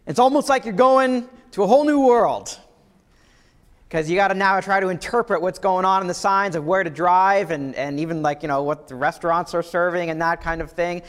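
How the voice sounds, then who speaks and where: High-voiced Canadian man preaching in a hall. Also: a little fast. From Ontario, Canada. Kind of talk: sermon. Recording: long hall reverb.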